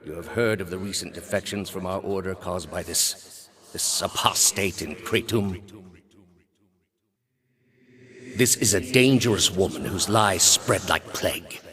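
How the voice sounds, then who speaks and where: A man playing a stern, zealous high priest and inquisitor in a video game, speaking in a measured, formal, quietly menacing way. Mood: stern, grave. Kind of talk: dramatic video game character dialogue.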